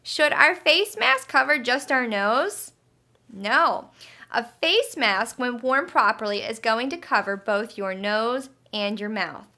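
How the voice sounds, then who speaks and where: A woman with a bright, fairly high voice leads storytime for young children. She takes her time, explaining things simply and asking encouraging questions in an expressive teacher's manner. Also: takes it slowly. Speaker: children's health educator and storyteller. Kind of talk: children's lesson and read-aloud storytime.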